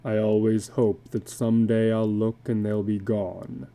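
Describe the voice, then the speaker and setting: A man's voice: lowish voice, little pitch movement, mellow, voice pushed down. A man voicing a weary, middle-aged tattooed carnival drifter in a storybook reading, speaking in a heavy, resigned manner. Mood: haunted, sorrowful. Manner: slow, heavy, resigned delivery.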